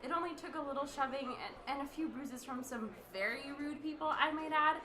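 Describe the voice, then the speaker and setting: A woman's voice: high voice, a little fast, crowd noise, lightly acted. A woman playing a scatterbrained young radio reporter in an amateur radio play, rambling and stammering as she goes. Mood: eager, flustered. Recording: roomy, echoing sound.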